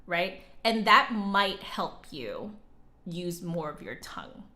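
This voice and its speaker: A woman chatting casually as a livestream host and flute teacher, conversational, with hesitant pauses and emphatic repeats. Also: lowish voice, big pitch swings, sharp tone. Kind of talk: casual livestream chat, answering viewer comments.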